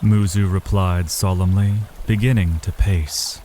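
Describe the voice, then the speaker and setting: A man's voice: low-pitched, steady tone, measured pace, warm tone, slight background noise. A man narrating a fantasy audio drama from a script in a solemn, literary storytelling manner.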